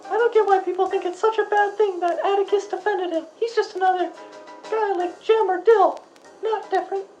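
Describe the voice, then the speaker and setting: A very high, childlike voice over music: a woman acting a nine-year-old tomboy girl in a radio play. She is blunt, indignant and ready to argue with grown-ups. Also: steady tone, brisk, darkish tone, highs cut off. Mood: puzzled. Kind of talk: acted radio interview for a school project.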